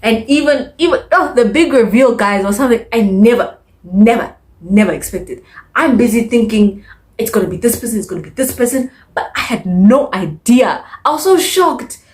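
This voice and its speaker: A woman reviewing books in a casual, chatty way, expressive and emphatic, often repeating words to stress them. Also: fairly high pitch, moderate pace. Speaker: book reviewer. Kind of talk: casual chatty monthly reading wrap-up.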